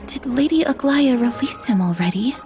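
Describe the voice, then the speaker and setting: A woman's voice: sits high, expressive, gravelly, dark tone, faint music behind. A woman playing a polite, composed young companion in a video game, speaking in an earnest, inquisitive and courteous way. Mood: wary, curious. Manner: courteous, measured. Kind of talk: video game character acting.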